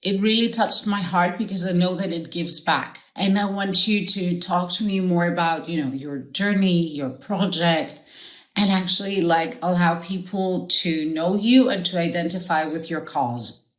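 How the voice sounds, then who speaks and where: A woman's voice: on the low side, unhurried, fairly bright tone, reverberant. A woman hosting a podcast interview, talking in a loose, rambling way with lots of fillers. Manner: rambling, many fillers like "like" and "you know". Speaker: podcast host. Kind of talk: casual interview.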